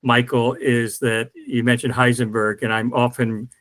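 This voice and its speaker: An older man co-hosting a video call chat, animated and joking. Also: medium pitch, measured pace, dry recording. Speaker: co-host. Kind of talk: casual chat.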